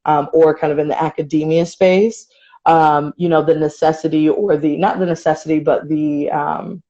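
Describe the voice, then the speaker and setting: Woman host on a video call, low voice, kinda muffled audio, lots of um and you know. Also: steady tone, moderate pace, warm tone. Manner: polite, hedging with "you know" and "um". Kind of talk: moderating an online interview.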